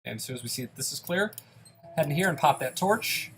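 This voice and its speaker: A man's voice: a little high, expressive, brisk, fairly bright tone, faint music behind. A young man giving casual, self-deprecating play-by-play commentary on a dungeon game while a bit sick. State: slightly sick, occasional coughs. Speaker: gaming YouTuber.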